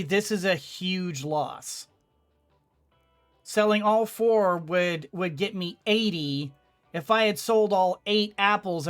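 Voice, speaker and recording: A woman giving casual let's play commentary, thinking aloud with hesitant phrasing as she goes. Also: mid-range voice. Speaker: gaming streamer.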